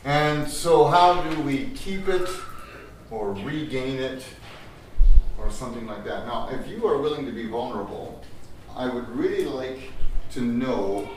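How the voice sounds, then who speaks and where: A man's voice: medium pitch, unhurried, slight background noise. Older man, workshop leader, chatty, laughs often, slightly strained voice, distant mic, very echoey room. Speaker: teacher trainer. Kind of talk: workshop talk with audience. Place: large echoey room with audience.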